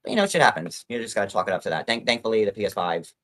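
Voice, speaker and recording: A man's voice: lowish voice, very animated, very fast, a bit bright. A man chatting casually on a livestream, rambling and swearing casually.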